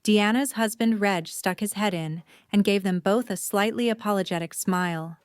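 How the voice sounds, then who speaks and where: A woman's voice: medium pitch, moderate pace, clear, resonant tone, no echo, clean studio sound. A woman reading scripted story narration for an audio drama.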